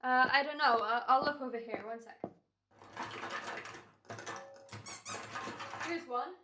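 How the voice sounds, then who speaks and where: A woman's voice: high voice, fairly quick, noisy background, natural voice. A woman playing a flippant, slangy radio actor who hams it up in an old-time comedy radio play.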